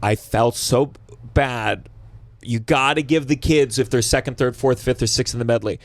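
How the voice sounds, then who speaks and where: A man hosting a podcast, chatting casually and comedically in an emphatic, animated way, with some swearing. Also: mid-range voice, normal speed, no echo.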